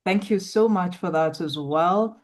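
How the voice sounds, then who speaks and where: Woman, moderator, low-ish voice, brisk, guiding. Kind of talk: hosting an online roundtable.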